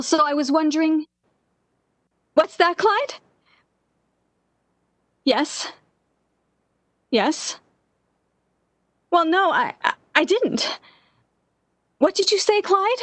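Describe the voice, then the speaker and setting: An actress playing an anxious, lovesick young woman in 1940s-style radio theater, pleading and halting in a melodramatic way. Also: sits high, no room sound, heavily acted. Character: Anne, lovesick, anxious, desperate young woman clinging to love.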